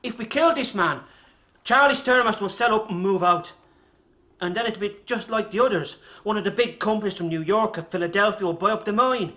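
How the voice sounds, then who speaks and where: An actor playing an earnest, protesting member of a criminal lodge in a radio drama, reasoning urgently. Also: male voice, high-pitched, brisk, sharp tone.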